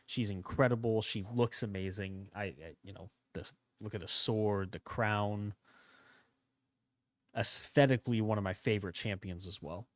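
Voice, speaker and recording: Guy hosting a gaming channel, a bit hoarse, rambling along as he plays, lowish voice, dry close sound. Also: moderate pace, no room sound. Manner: rambling, relaxed, thinking aloud. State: slightly hoarse from a starting cold. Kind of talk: casual gameplay commentary.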